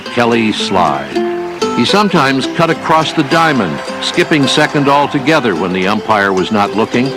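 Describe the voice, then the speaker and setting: Male documentary narrator, mid-pitched, measured storytelling, clear guitar music underneath. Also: rough recording.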